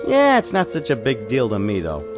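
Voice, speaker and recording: A man's voice: medium pitch, wildly swooping pitch, normal speed, music in the background. Man acting a swooping, streetwise American henchman. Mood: needling. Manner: colloquial, wiseguy phrasing. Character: blunt, streetwise henchman with a noble title, questioning his boss. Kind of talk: animated fantasy drama dialogue.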